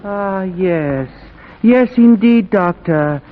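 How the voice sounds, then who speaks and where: An actor playing an obsequious, unhinged asylum patient in a 1940s radio drama, speaking in florid formal phrases. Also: male voice, high-pitched, animated, very slow, a little hiss or hum, heavily acted. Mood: eager, pleading. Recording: old narrow-band radio recording.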